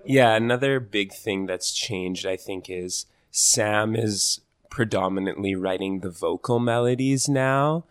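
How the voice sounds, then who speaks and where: A man's voice: fairly low pitch, unhurried, hardly any pauses, clear, resonant tone. A man, a guitarist, talking in an easygoing, hesitant way with lots of fillers. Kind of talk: casual interview chat.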